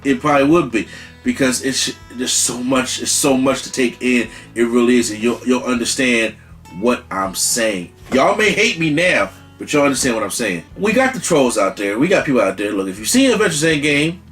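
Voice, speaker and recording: A man's voice: medium pitch, animated, normal speed, a bit bright, faint music behind. A man hosting a casual movie review, rambling and emphatic, with slang and the odd curse. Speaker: movie reviewer, channel host.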